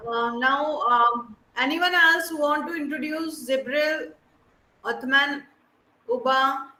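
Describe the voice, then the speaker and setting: A woman's voice: sits high, takes it slowly, sharp tone, echoey room, low-quality recording. A woman academic counselor calling on students in an online class, with hesitant fillers, on a video call. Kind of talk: leading an online class introduction.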